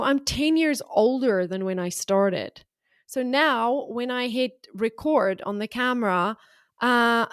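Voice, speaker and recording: A woman's voice: on the high side, no room sound. South african-aussie accent woman coaching, blunt n upbeat, short punchy lines, dead dry sound. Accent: mixed South African and Australian. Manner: frank, encouraging, punchy short phrases. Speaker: podcast host and business coach. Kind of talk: motivational solo podcast.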